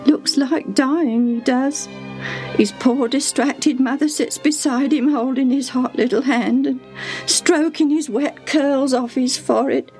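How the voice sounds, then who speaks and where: Woman acting high-voiced tearful, devoted servant woman. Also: takes it slowly, darkish tone, faint music behind. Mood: tearful, despairing. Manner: sobbing, folksy lamenting. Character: faithful grieving woman servant, fond and tearful. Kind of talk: dramatised Bible storybook reading.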